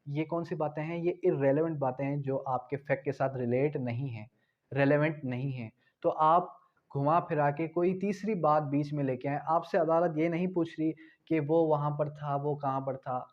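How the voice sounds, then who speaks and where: A man from Pakistan giving an exam-prep law lecture, asking questions and then answering them, spelling out key terms slowly. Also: fairly high pitch, highs cut off. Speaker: law tutor.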